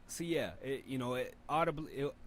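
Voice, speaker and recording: A man with a deep voice hosting a rap review show, giving loose, rambling feedback with lots of fillers and the odd curse. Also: brisk, a bit bright. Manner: laid-back, rambling, profane, lots of fillers. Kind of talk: casual spoken feedback and mixing tips.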